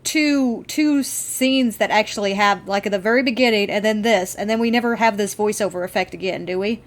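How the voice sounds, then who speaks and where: A woman's voice: mid-range voice, fairly quick. A woman co-hosting a podcast, discussing a TV show in a casual, enthusiastic, conversational way. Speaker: podcast co-host.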